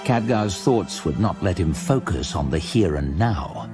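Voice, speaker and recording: A man's voice: sits low, lively intonation, measured pace, music in the background. A man narrating a scripted fantasy audio drama in a dramatic storytelling style.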